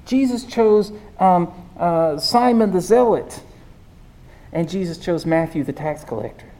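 A preacher with a squeaky voice giving a sermon. The delivery is chatty and informal, tossing in quick questions to the congregation. The pitch sits in an ordinary middle range. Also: lively intonation, dark tone. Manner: conversational, keeps asking "right?". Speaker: pastor.

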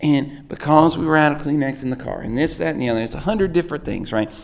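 A man, a pastor preaching with a sore, hoarse throat, telling rambling self-mocking stories. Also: a little high, quick pace. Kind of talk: sermon.

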